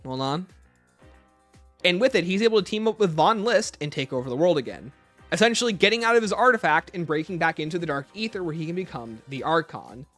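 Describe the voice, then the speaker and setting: A man's voice: on the high side, animated, a little fast, fairly bright tone, no echo, faint music behind. A man hosting a livestream, explaining and answering viewer questions off the cuff. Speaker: livestream host.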